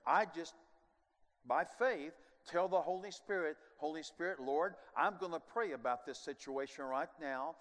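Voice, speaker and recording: Older pastor guy preaching on a big church stage, kinda high voice, booming hall echo, animated then goes quiet. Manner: animated preaching with sweeping gestures, drops to quiet asides. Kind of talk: sermon. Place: large church stage, indoors. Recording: long church hall reverb.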